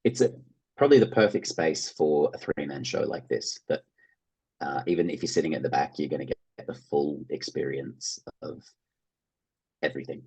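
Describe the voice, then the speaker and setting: Very deep, husky Australian man. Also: moderate pace, darkish tone, low-quality recording. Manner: enthusiastic, informal, acts out imagined reactions. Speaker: interview guest, actor and theatre producer. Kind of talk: casual interview conversation.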